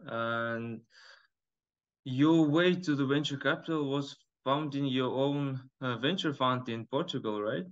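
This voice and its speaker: A man's voice: mid-range voice, measured pace. A man hosting an interview puts short, direct questions to his guest. His voice sits in a middle range and comes through dull, lo-fi video call audio. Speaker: podcast host.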